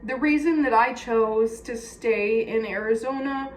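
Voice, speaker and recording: A woman's voice: a little high, unhurried. A woman with a Californian accent chatting candidly and casually to her viewers, confiding and blunt. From California, United States. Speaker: personal vlogger. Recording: echoey room with faint background noise.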